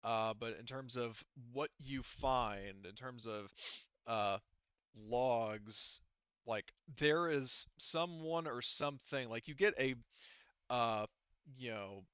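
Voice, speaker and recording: A man's voice: mid-range voice, a bit bright, clean tone, no echo. Dry, slightly muffled male game master. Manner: halting, circles back and rephrases. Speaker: game master of an online tabletop game. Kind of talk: casual improvised game narration.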